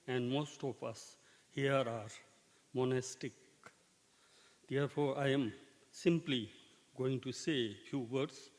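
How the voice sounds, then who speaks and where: Slow, deliberate professor in a hall. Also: male voice, mid-range voice, darkish tone. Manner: slow, deliberate, opens with chanted salutation. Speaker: professor, keynote speaker. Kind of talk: formal conference address. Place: large conference hall. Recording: long hall reverb.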